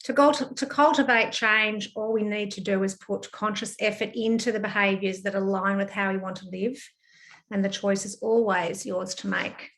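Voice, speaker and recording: A woman with a croaky voice from a sinus problem, leading an online counselling group session in a gentle, reassuring way with many ums and restarts. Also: mid-range voice, steady tone, moderate pace. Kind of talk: live video-call talk to a group. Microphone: computer mic on a video call.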